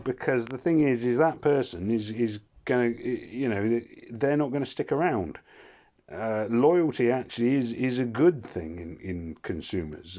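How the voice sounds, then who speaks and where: A hoarse man casually commentating his mobile game, rambling and cutting himself off with wry asides. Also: medium pitch, a little mellow. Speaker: gaming channel host.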